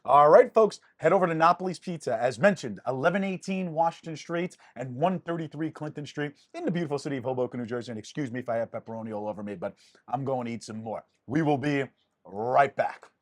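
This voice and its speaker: A man's voice: mid-range voice, fast talker, no room sound, clean studio sound. A man hosting a local talk show, chatting playfully and jokily with a guest outdoors on a summer day. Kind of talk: lively casual chat on a food segment.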